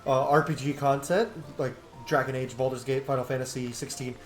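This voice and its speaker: A man's voice: medium pitch, steady tone, fairly quick. A man hosting a gaming video, giving casual playthrough commentary and role-playing along. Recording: roomy sound with faint game music. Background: game music.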